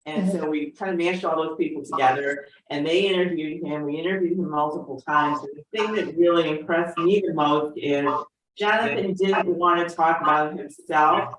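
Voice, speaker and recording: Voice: mid-range voice, steady tone, moderate pace, a bit bright, a little hiss or hum. Very echoey, distant voice introducing a speaker. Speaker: congregation member introducing a speaker. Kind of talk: informal spoken introduction. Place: video call. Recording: very echoey, distant video-call microphone.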